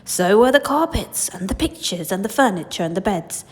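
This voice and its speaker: A woman's voice: mid-range voice, expressive, normal speed, big-room sound, natural voice. A woman voicing a mysterious old grandfather who tells secrets with dramatic emphasis in a children's storybook. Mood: mysterious, emphatic. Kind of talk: children's storybook read-aloud.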